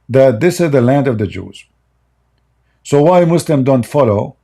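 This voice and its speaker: Emphatic man with a dark voice. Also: medium pitch. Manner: emphatic, repeats key phrases, many fillers. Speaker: debate host. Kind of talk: live online religious debate.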